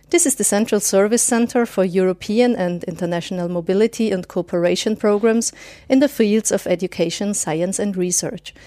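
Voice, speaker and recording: A woman's voice: medium pitch, warm tone, no room sound. A woman hosting a podcast interview, introducing her guest politely and formally. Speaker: podcast host.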